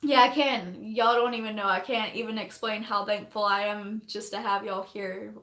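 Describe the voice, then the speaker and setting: A young woman, chatting on a phone livestream while doing her makeup, rambling fast, laughing often and losing her voice. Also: mid-range voice, fairly even intonation, fairly bright tone, roomy sound. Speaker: livestreaming vlogger.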